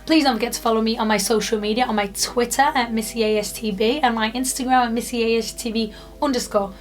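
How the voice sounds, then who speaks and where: A woman's voice: on the high side, fairly even intonation, quick pace, soft background music. A British woman in her twenties from the UK, chatting casually to camera in a rambling vlog with lots of fillers. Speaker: YouTuber.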